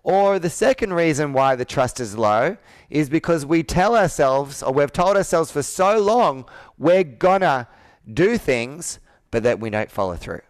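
Clear, higher-pitched man coaching assertively. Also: expressive, a little slow, no echo. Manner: assertive, probing, rhetorical questions. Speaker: sales coach. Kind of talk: persuasive coaching on a sales call. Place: indoor office with a flip chart, on a video call.

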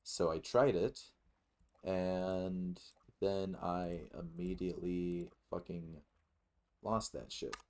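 A man's voice: low voice, fairly even intonation, takes it slowly, darkish tone. A man giving casual, rambling let's play commentary with some swearing. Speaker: gaming YouTuber.

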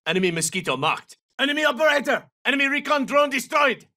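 An actor playing a tough, terse special forces soldier in a military shooter game, giving short, clipped, commanding tactical callouts. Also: male voice, very high-pitched, big pitch swings, fast talker, pushed and bright, distant mic, full character voice. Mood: urgent, shouting. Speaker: video game operator voice actor.

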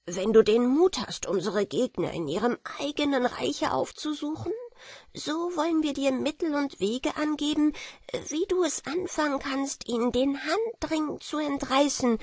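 A woman's voice: sits high, measured pace, darkish tone, no echo, voice pushed up. A woman voicing a small, solemn dwarf king from a fairy tale, pleading in earnest, formal speech. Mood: grave, pleading.

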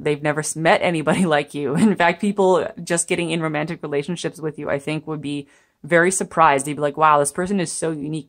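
A young woman giving a chatty, meandering tarot reading to viewers, laughing now and then as she talks. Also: deep voice. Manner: chatty, meandering, gentle, laughs while talking. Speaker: tarot reader. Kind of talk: pick-a-card tarot reading. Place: indoors at a table.

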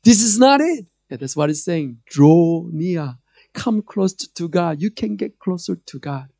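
Korean accent guy preaching, mellow voice, jokey then loud and urgent, dry close mic. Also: high-pitched, animated, unhurried, gravelly, no echo. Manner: joking, conversational preaching, rising to emphatic calls. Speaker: pastor. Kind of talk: sermon. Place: church stage before a screen. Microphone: handheld mic.

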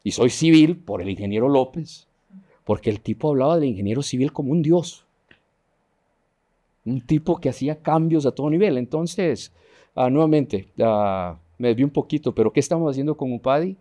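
A man's voice: medium pitch, moderate pace. A middle-aged man from Honduras, a guest engineer speaking earnestly and with animation in a TV studio interview, on a lapel mic. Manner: earnest, animated, gesturing while talking. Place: dark TV studio.

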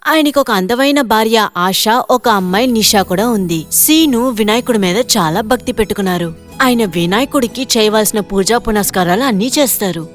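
A woman narrator reading a scripted moral story for children. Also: a little high. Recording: loud music behind the voice.

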